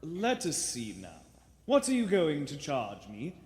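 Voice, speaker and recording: Intense secretive gentleman, slow delivery, dramatic swings in pitch, formal, stern, radio play. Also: wildly swooping pitch. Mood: formal, businesslike. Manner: slow, formal, theatrical, clipped orders. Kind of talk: live radio play acting. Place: live stage in a theater. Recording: echoey theater stage recording.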